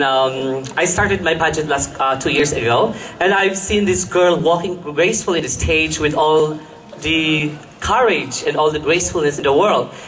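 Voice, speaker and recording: A young man answers a pageant question on a mall stage, his voice sitting a little high. He gushes and rambles, stumbling through fillers and restarts as he searches for words. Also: a bit bright, slight background noise. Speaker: pageant contestant. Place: live event stage at a mall.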